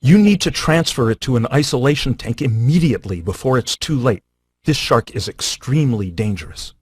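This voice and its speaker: An insistent marine biologist. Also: male voice, medium pitch, lively intonation, moderate pace, breathy edge, no echo. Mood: urgent. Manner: earnest, insistent. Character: urgent marine biologist, adult man, earnest. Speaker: field scientist. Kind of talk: game cutscene voice acting.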